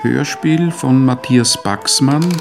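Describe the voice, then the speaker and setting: A man delivering a scripted radio drama announcement in measured, deliberate phrases. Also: medium pitch, gravelly. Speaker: radio play title announcer. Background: music.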